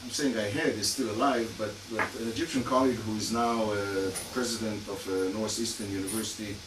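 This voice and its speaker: A man's voice: on the low side, fairly even intonation, brisk. Hesitant lecturing man with a Hungarian-Israeli accent. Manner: hesitant, many fillers, dry asides. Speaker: guest lecturer, criminologist. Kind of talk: academic lecture. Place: lecture room. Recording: echoey hall, far mic, noisy.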